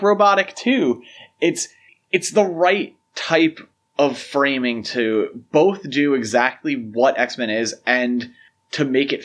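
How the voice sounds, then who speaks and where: Male podcast co-host, casual and opinionated, talking films conversationally. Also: mid-range voice. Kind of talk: casual film discussion.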